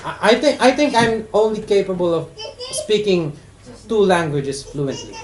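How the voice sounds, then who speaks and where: A man from the Philippines hosting a casual chat, speaking haltingly and often repeating his words. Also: high-pitched, animated, a little hiss or hum. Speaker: host. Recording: echoey room with loud music.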